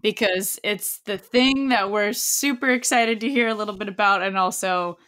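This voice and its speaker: Female co-host, bright and eager interviewer. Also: mid-range voice, normal speed, clean tone. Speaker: podcast co-host. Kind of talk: casual interview chat.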